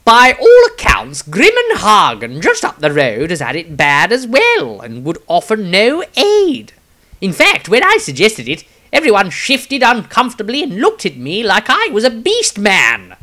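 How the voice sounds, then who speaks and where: Man playing a loud, high-pitched apprentice wizard. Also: huge pitch swings, unhurried, heavily acted. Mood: worried.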